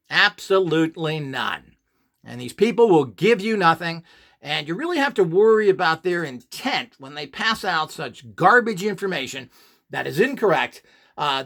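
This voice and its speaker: Lively, scornful man lecturing. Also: a little high. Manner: scornful, ranting, full of rhetorical questions. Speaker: radionics instructor. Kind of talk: opinionated instructional monologue.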